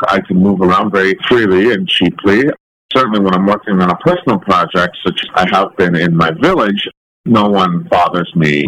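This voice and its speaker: Male interview guest from the United States, low voice, dry close sound, explains things carefully and articulately. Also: fairly bright tone, dry recording, rough recording. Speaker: interview guest, documentary photographer. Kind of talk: thoughtful interview answers.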